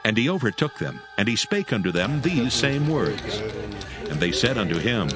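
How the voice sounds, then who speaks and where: A man's voice: lowish voice, big pitch swings, normal speed, over music. A man narrating scripture as a dramatized audio story, reading from a script.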